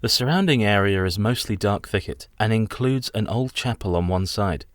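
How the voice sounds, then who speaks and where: Man playing earnest young solicitor, low and formal. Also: lively intonation, normal speed, no room sound, clean studio sound, natural voice. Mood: polite, businesslike. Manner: formal, precise, courteous. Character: earnest, polite young English male solicitor. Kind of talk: radio play acting.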